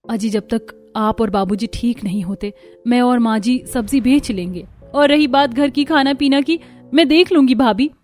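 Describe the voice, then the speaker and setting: A woman's voice: fairly high pitch, normal speed, a little mellow, lightly acted. A woman voicing a hardworking, hopeful and respectful young daughter-in-law in a Hindi village cartoon. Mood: reassuring. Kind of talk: Hindi moral-story cartoon dialogue. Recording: music behind the voice.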